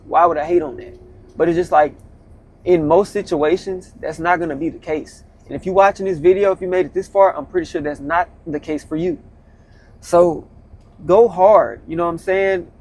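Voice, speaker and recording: A man in his twenties talks loosely to his viewers from the cab of his truck, slipping into slang and repeating himself as he goes. His voice sits a little higher than most men's. The delivery is relaxed, conversational and motivational. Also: normal speed, rough recording. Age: 20s. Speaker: vlogger, truck driver. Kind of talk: casual motivational talk.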